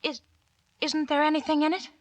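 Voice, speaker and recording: A woman's voice: high voice, little pitch movement, fairly quick, natural voice. Woman playing a terrified young wife. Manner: breathless, stammering fear. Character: frightened young wife in a haunted house. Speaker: radio actress. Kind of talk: 1940s radio acting. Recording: noisy old radio archive.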